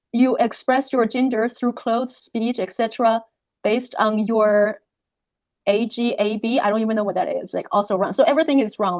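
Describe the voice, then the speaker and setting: A woman whose first language is not English, explaining things casually as an interview guest, halting and repeating words. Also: medium pitch, darkish tone, no echo. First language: not English, which is a third language. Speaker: interview guest, reading tutor.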